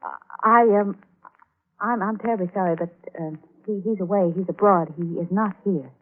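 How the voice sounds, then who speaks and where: An actress playing a nervous, secretive young niece in a vintage radio thriller, polite and hesitant. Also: female voice, mid-range voice, moderate pace, lightly acted. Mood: nervous, evasive. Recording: tinny, noisy old radio recording.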